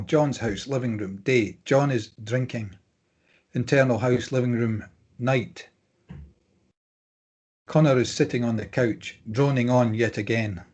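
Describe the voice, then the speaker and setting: Husky man reading stage directions. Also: medium pitch, a little mellow. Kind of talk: scripted narration.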